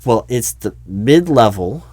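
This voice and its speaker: A man's voice: medium pitch, animated, takes it slowly, darkish tone, no room sound. A man playing a flustered, officious recruiting manager in a one-sided job interview roleplay, stammering and repeating himself. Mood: flustered, evasive. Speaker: roleplay performer.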